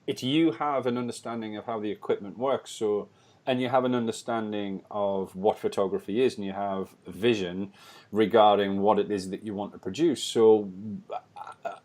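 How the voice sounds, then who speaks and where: A man's voice: on the low side, fairly even intonation, moderate pace, a little mellow. A man co-hosting a podcast, chatting casually and backing up the guest with frequent agreement. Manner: frequent yeah backchannels. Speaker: podcast co-host.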